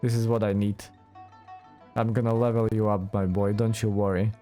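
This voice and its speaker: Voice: mid-range voice, level tone, moderate pace, dark tone, faint music behind. A streamer giving casual, effusive commentary while playing a game, full of exclamations. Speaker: let's play streamer.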